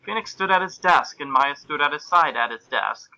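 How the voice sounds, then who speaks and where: A man's voice: fairly high pitch, expressive, moderate pace, creaky, pushed and bright, highs cut off. A man hosting and narrating a comic amateur reading of fan fiction, in an amused, mock-serious way. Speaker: podcast host and narrator.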